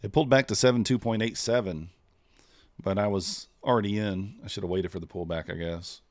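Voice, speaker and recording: A man's voice: on the low side, fairly quick, no room sound. A man streaming live, chatting casually and thinking aloud with many fillers as he trades. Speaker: streamer trading futures live.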